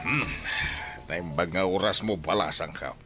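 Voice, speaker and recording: A man's voice: deep voice, unhurried, loud music behind the voice. An actor playing an inquisitive older village man in a radio drama, with an Ilocano accent from the northern Philippines. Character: older village man, inquisitive elder asking after a young woman.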